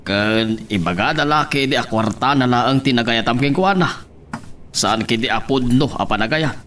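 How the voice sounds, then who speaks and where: A man's voice: medium pitch, lively intonation, moderate pace, a bit bright, natural voice. An actor playing a middle-aged father in a radio soap, questioning and admonishing. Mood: disapproving. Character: disapproving, questioning father of a grown son. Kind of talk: radio soap drama acting. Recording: faint background noise.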